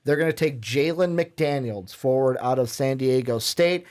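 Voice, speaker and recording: A man's voice: medium pitch, moderate pace, darkish tone. A man co-hosting a sports podcast, reading draft picks casually with fillers and self-corrections. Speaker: podcast co-host. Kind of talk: reading a mock draft list.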